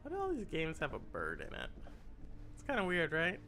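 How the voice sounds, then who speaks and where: A game streamer with a raspy, congested voice that has a gravelly edge and a bright, sharp tone. The talk is chatty, and they keep muttering to themselves while they search the screen. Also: medium pitch, wildly swooping pitch, fairly quick, soft background music. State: congested from a cold. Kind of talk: live gameplay stream with chat.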